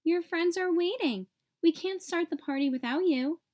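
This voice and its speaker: Woman reading as a kindly high-voiced owl schoolteacher. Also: moderate pace, a little mellow. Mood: coaxing. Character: kind, reassuring male owl schoolteacher.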